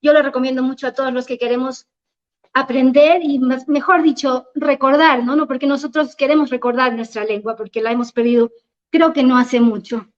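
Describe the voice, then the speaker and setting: High-voiced woman hosting. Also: steady tone, brisk. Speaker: event host. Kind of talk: introducing a speaker. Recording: dull lo-fi event recording.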